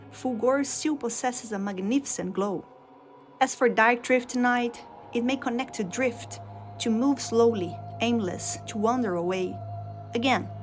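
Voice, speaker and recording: Measured Portuguese-speaking woman narrating lore. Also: on the high side. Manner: measured storytelling delivery in short phrases. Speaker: video game lore narrator.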